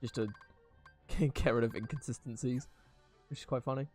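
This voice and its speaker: A young man streams a game with casual commentary in a medium-pitched voice. He rambles and hesitates with amusement, while game music plays behind him. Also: fairly even intonation, moderate pace, darkish tone. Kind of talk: casual live gameplay commentary.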